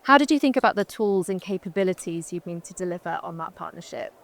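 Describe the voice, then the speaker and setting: A woman interviewer with a slightly low, gravelly voice, sounding upbeat and encouraging as she puts questions to a guest on stage. Her tone is friendly and keeps the conversation moving. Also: lively intonation, fast. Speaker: interviewer, moderator.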